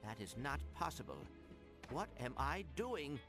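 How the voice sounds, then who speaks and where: An actor playing an earnest, polite little robot secret agent who speaks formally and precisely in a video game. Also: male voice, mid-range voice, very animated, unhurried, creaky, music in the background. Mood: urgent. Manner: formal, precise, old-fashioned wording. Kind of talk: video game cutscene acting.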